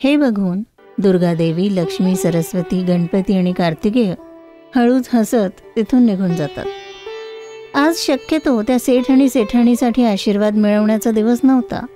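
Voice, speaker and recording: A woman's voice: medium pitch, normal speed, mellow. A woman narrating a scripted moral tale for children as a storyteller. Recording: music behind the voice. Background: background music.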